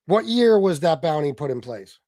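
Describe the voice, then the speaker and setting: A combative man hosts a call-in livestream. His voice sits a little high and sounds close and dry, with no room around it. He talks over his caller and reads search results aloud. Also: big pitch swings, moderate pace, warm tone, dry recording. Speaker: livestream host. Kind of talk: heated call-in debate.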